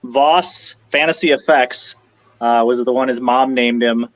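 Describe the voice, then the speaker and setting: A man who designs props, guesting on a casual interview over a video call and telling stories in a relaxed way. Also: medium pitch, a little slow, no echo, lo-fi sound. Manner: relaxed storytelling, laughing easily.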